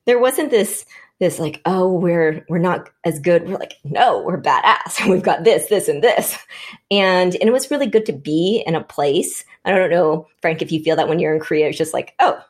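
A woman's voice: fairly low pitch, normal speed, darkish tone. A woman born in Vietnam, speaking as a panel guest, reflecting aloud and restarting her sentences as she thinks. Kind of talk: conversational panel discussion.

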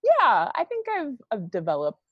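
A woman's voice: a little high, huge pitch swings, moderate pace, dry recording, lo-fi sound. A young woman chatting as a podcast guest, giggly, with short reactions. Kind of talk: casual chat.

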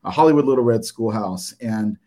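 A man in his thirties whose first language was Japanese, giving a nervous, halting personal testimony to a church congregation, full of fillers and restarts. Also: lowish voice, dark tone. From United States. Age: 30s. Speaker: church member giving a talk.

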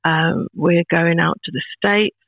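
A woman in her fifties, a proud grandmother, chatting as a podcast guest in a warm, rambling way with many restarts. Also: lowish voice, steady tone, a little slow, dry recording. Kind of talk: casual chat. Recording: tinny phone-like line, dry.

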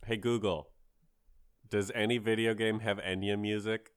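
A man's voice: lowish voice, flat delivery, moderate pace, clear, resonant tone. A man in his thirties co-hosting a podcast, chatting casually about video games. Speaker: podcast co-host.

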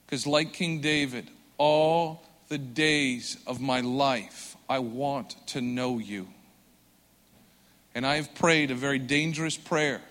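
A man preaching a sermon with earnest conviction, swelling loud for emphasis and dropping soft and slow. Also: mid-range voice. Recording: long hall reverb.

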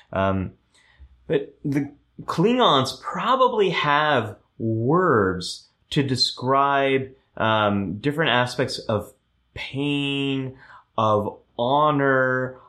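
A man hosting a live show who explains ideas at length, reads out viewer comments, and often pauses with ums and restarts. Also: medium pitch, a bit bright.

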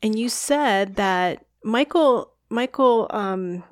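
A woman's voice: mid-range voice, lively intonation, slow, dry recording, clean studio sound. A woman hosting a casual conversation, effusive and openly emotional in her praise. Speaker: host.

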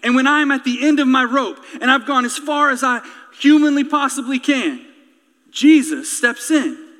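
A man's voice: very high voice, animated, normal speed, a bit bright. A man preaching an impassioned sermon with emphatic, rhetorical, repetitive phrasing. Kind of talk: impassioned sermon with repeated phrases, closing in prayer. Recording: reverberant hall sound.

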